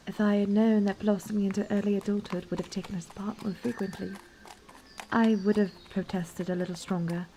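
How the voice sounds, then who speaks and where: A woman playing a gentle, troubled young princess in a fantasy romance audio roleplay, speaking softly and intimately in courtly, old-fashioned phrasing. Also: mid-range voice, slight background noise, natural voice, rough recording. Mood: fond, teasing. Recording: background music.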